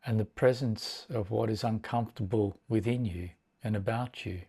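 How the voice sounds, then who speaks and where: A man leading a guided meditation as a teacher, speaking in a measured, deliberate way with long pauses between short phrases. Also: fairly low pitch, steady tone, dark tone, slightly rough.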